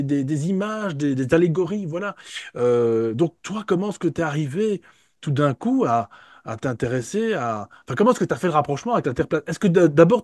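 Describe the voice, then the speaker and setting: A man's voice: on the high side, darkish tone, no room sound, clean studio sound. A man hosting a casual interview, feeling his way through questions and searching for his words. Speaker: interviewer, host.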